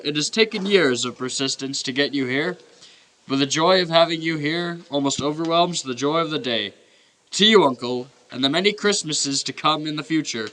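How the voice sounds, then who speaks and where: An actor playing a genial, gracious nephew in a radio play, hearty, formal and emphatic. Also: male voice, a little high, a bit bright, rough recording. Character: genial, gracious nephew hosting a holiday gathering.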